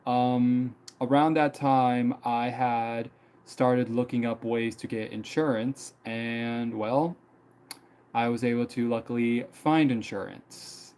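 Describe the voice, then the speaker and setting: Young man, mid-twenties, mellow relaxed tone, loose rambling live-stream chatter. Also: mid-range voice, slow pace, smooth. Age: 20s. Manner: rambling, many fillers and repeats. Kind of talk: casual personal storytelling.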